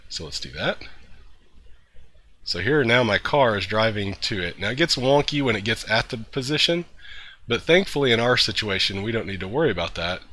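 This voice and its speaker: A man hosting a programming tutorial, a little congested from a cold, talking through code steps in a relaxed way with fillers and throat-clearing. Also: on the low side, moderate pace. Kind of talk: screen-recorded coding tutorial.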